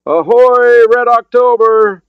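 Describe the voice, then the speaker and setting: A man voicing an American navy boatman in an audiobook, calling out in loud, clipped hails. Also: very high-pitched, slow, vocal fry, clear, resonant tone, no echo, phone-quality sound, voice pushed up, exaggerated voice.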